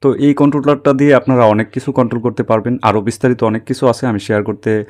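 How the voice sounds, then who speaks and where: A man's voice: medium pitch, fairly even intonation, fast, a little mellow. A man hosting a tech tutorial, explaining a screen recording step by step and often checking that listeners are following.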